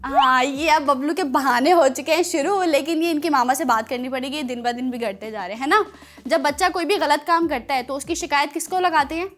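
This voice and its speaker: A woman presenting a children's TV show in an expressive, playful, teasing way, speaking directly to her listeners. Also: high-pitched, fairly quick.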